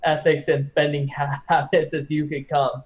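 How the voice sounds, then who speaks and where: A young man with a fairly high voice talks casually in an online group discussion. He hedges and backtracks haltingly and swears freely. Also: little pitch movement. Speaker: discussion participant. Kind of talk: casual chat.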